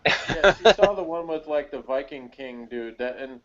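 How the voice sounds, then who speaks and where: A man's voice: on the high side, lively intonation, moderate pace, highs cut off, a crowd in the background. A man co-hosting a casual chat in a joking way, putting on imagined quotes. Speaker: podcast co-host.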